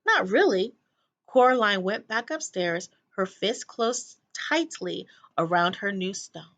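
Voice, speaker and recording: A woman teacher reading a children's story aloud in an expressive narrating style. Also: medium pitch, slow.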